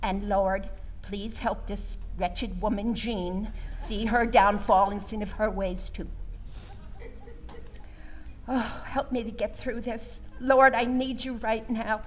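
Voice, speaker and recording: A woman's voice: mid-range voice, fairly even intonation, takes it slowly, close to an everyday voice, poor audio. A woman playing a pious, melodramatic, judgmental storyteller in a theatrical comic monologue, with a pleading, exaggerated delivery. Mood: fervent, pleading.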